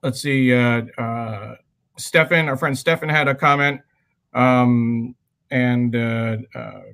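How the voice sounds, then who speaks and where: A man co-hosting a casual livestream over a video call, speaking in halting phrases. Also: mid-range voice, fairly even intonation, gravelly, a bit bright, clean tone. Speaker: livestream co-host.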